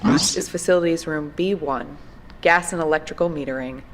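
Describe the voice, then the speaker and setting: An actress playing a diligent, polite young researcher, speaking matter-of-factly into a field recorder in an audio drama. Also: female voice, deep voice, moderate pace, close to an everyday voice. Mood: businesslike. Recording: noisy handheld field tape with clicks.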